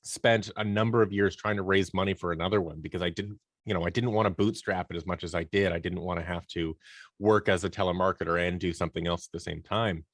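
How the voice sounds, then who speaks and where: Male podcast guest, lowish voice, casual and self-deprecating, thinking out loud, recorded dry. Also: steady tone, fast, no room sound. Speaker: webinar guest, audio drama producer and sound designer. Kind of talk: casual interview talk.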